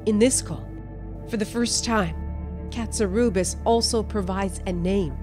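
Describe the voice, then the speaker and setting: A woman news correspondent reading a scripted documentary report in a measured, dramatic storytelling way. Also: mid-range voice. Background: music bed under the voice-over.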